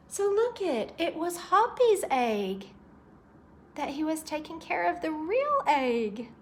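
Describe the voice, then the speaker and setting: A woman's voice: sits high, sing-song, slow pace, a little hiss or hum. A woman reading a picture book aloud to young children, expressively, with chatty asides and questions to her listeners.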